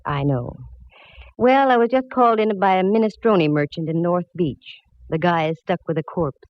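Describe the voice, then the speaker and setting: A woman's voice: lowish voice, normal speed, lightly acted. An actress playing a tough, wisecracking female private eye in a 1940s radio detective drama, with dry, slangy delivery. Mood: wry banter. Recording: muffled, noisy 1940s radio recording.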